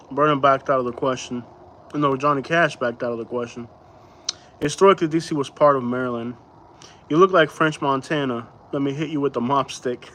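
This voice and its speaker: A man's voice: mid-range voice, a bit bright, slight background noise. A man from Florida hosting a casual late-night live chat. He rambles loosely in a slangy, easygoing way, reading out viewers' comments and answering them. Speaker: livestream host.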